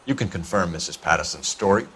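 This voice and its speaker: Brisk, low-voiced 1940s police detective. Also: male voice, lively intonation, a bit bright, slightly rough, full character voice. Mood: probing. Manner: formal, pointed, accusatory questioning. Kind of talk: naturalistic period crime drama acting.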